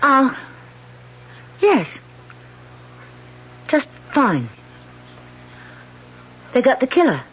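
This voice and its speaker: A woman's voice: high voice, huge pitch swings, very slow, long pauses, slightly rough, heavily acted. An actress in a 1970s radio drama playing a guarded woman with a secret, tense and evasive. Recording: dull vintage radio broadcast.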